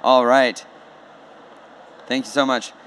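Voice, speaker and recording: A man's voice: medium pitch, level tone, slow pace, a bit bright, a little hiss or hum, poor audio. A man emceeing at a conference stage, thanking speakers and introducing the next talk with upbeat energy. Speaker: event emcee. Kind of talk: stage announcing.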